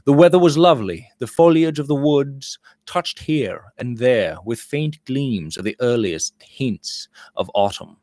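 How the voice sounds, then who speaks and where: A man's voice: medium pitch, animated, unhurried, few pauses, warm tone, no room sound. Dry-sounding man reading a novel aloud. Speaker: audiobook reader. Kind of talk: scripted literary narration.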